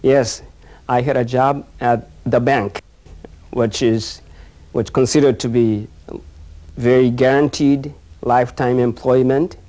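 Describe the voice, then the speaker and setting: An older man with a Japanese accent, answering interview questions haltingly, pausing to find his words. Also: medium pitch, fairly even intonation, warm tone. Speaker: interviewee, business owner.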